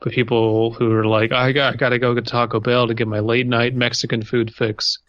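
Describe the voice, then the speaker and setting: A man's voice: mid-range voice, level tone, fairly quick, dry recording, lo-fi sound. An American man from California co-hosting a podcast in casual, sweary, ranting chat. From California, USA. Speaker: podcast host.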